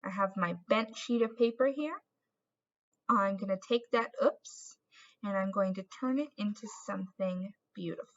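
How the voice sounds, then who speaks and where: A woman's voice: mid-range voice, moderate pace, a bit bright, highs cut off. A woman presenting an instructional craft lesson for children as an art teacher, in an encouraging way with questions to young viewers.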